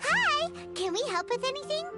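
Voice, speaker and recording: Casting: perky childlike game fairy, stubborn and excitable, extremely high squeaky pitch, animated delivery. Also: female voice, moderate pace. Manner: exclamatory, speaks of self by name. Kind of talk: video game character acting. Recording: music behind the voice.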